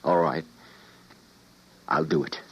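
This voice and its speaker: An actor playing an earnest, principled doctor with a dramatic delivery in an old-time radio drama. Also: male voice, rumbling low, fairly even intonation, slow pace, heavy background noise. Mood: resigned, sombre. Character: earnest, principled male doctor, troubled and indignant. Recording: muffled vintage radio drama recording.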